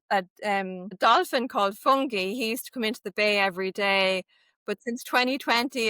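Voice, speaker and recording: An Irish woman talks in a chatty, explaining way, her speech sprinkled with "like" and "um". Her voice is bright and clear, at a middle pitch, and the recording is dry and close with no room sound. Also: dry recording. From Ireland. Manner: chatty and explanatory, many "like" and "um" fillers. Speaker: guest, walking tour operator. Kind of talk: casual interview chat.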